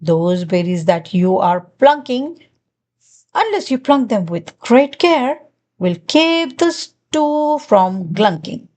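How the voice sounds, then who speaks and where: A woman's voice: a little high, big pitch swings, takes it slowly, darkish tone. A woman voicing a pushy, chattering male glunk creature who wheedles sweetly and rambles on, in a storybook reading. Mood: wheedling.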